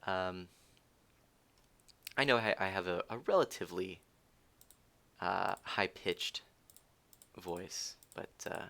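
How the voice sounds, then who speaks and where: A man's voice: sits low, slow pace, creaky, long pauses, bright, forceful tone. A man streaming a game live, chatting with viewers, giggling and teasing as he thinks aloud. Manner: rambling with frequent restarts.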